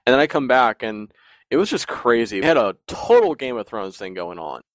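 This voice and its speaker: A man's voice: medium pitch, normal speed, vocal fry, no echo. A man in casual podcast chat, telling long rambling stories with frequent laughs. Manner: rambling, animated storyteller who often laughs.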